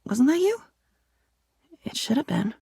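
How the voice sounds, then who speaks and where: A woman's voice: high voice, very animated, a little slow, gravelly, warm tone, slightly rough, no room sound, studio quality, exaggerated voice. An actress playing an unhinged, dreamy woman with a volatile temper who rambles disjointedly in a video game. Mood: forlorn, drifting. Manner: disjointed rambling, swinging between wistful and agitated. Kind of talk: video game character voice acting.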